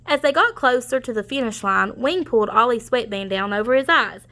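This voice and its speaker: A woman narrates a picture book for young children. Her voice is bright and on the high side, and she adds explanatory asides as she reads. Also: lively intonation, fairly quick. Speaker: storybook reader. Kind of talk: children's read-aloud narration.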